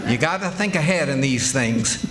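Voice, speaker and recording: An actor playing a stubborn, folksy husband in 1940s radio comedy style, with blustering, ungrammatical speech. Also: male voice, mid-range voice, lively intonation, moderate pace, reverberant, natural voice, poor audio. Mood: defensive, boastful.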